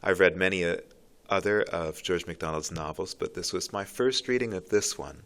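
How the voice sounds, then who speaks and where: A man with a low voice giving earnest, reflective commentary on a poem, pausing and restarting as he thinks. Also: a bit bright. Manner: thoughtful, earnest, with pauses and restarts. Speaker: literature commentator.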